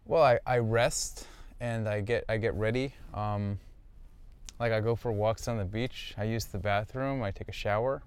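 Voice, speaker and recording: A man's voice: lowish voice, fairly even intonation, normal speed, smooth. A man, a comedian, explaining himself conversationally in a hesitant, defensive way inside a small van. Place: inside a small wood-lined camper van.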